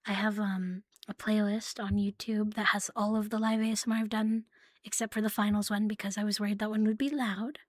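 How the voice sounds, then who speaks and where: A woman streaming ASMR in a soft, breathy, often whispered voice, playful and intimate, close to the microphone. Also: mid-range voice, little pitch movement, brisk, no echo. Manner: playful, giggly, intimate, lingering on repeated words. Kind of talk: ASMR roleplay with casual rambling to stream viewers.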